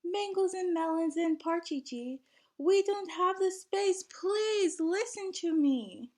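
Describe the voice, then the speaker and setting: Woman acting out a high-pitched, exasperated young boy. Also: lively intonation, takes it slowly, exaggerated voice. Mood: exasperated protest. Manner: pleading, exasperated. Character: worried, protesting young boy passenger in a picture book.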